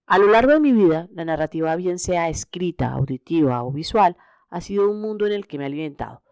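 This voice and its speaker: Colombian woman podcaster, low-pitched, close dry sound. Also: lively intonation, fairly quick, a little mellow, smooth, dry recording, muffled recording. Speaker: podcast host. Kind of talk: scripted solo podcast narration.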